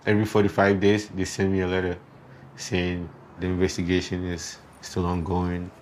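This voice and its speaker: A man's voice: low-pitched, monotone, moderate pace, a little mellow, slight background noise. A man from Ghana with a Ghanaian accent, giving a personal account in an interview. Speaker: complainant interviewee. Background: music bed.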